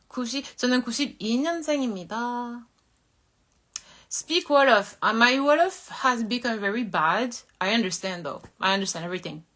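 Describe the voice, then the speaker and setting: Woman, 30s, from Korea, quick and candid, self-deprecating live-stream host. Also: fairly high pitch, a bit bright. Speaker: model and livestream host. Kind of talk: casual live-stream chat.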